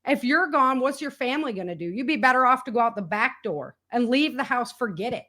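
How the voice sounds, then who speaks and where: A woman's voice: fairly high pitch, expressive, fairly quick, sharp tone. A woman speaking as an interview guest in a casual, spontaneous conversation, colloquial and emphatic, voicing other people's remarks as she goes. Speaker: interview guest, author and podcaster on survival prepping.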